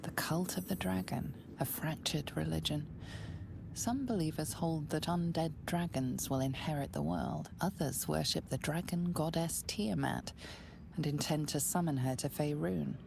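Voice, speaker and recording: A woman voicing the solemn, all-knowing narrator of a fantasy game, speaking in a hushed, measured way. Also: low-pitched, gravelly, background noise, heavily acted. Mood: grave. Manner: slow, measured delivery. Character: solemn omniscient female storyteller. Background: music.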